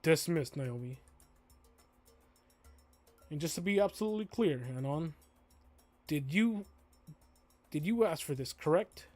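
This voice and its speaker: Formal, authoritative dinosaur principal for a visual novel done by a streamer, stern but supportive, clear music behind. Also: mid-range voice, animated, unhurried, long pauses, a little mellow, no room sound. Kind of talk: visual novel character voice read aloud.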